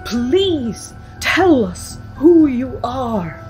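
A woman playing a self-assured, theatrical teenage séance leader with a husky, solemn delivery in an audio drama. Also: high-pitched, very animated, very slow, darkish tone, faint music behind, full character voice.

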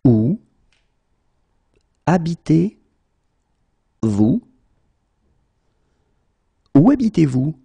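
Very slow, deep gravelly French teacher. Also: male voice, big pitch swings, warm tone, no room sound. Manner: repeats each phrase, then splits it word by word. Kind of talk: slow phrase-by-phrase language drill.

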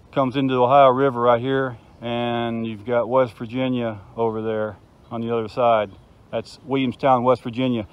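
A man's voice: medium pitch, clean tone, muffled recording. A man in his fifties giving an enthusiastic, chatty video tour outdoors, talking into a handheld phone camera. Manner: chatty, enthusiastic, points things out. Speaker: vlogger. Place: outdoors by a river.

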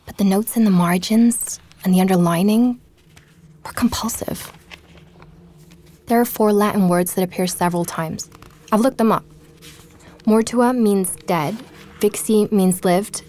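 An actress playing a determined woman journalist, narrating her podcast investigation in a measured, inquisitive way. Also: medium pitch, a little mellow, close to an everyday voice. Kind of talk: scripted podcast narration in audio drama.

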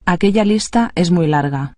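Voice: mid-range voice, normal speed, dry recording. Neutral reader giving drill prompts. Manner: even, neutral prompt reading. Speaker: Spanish phrase reader. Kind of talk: read-aloud language drill.

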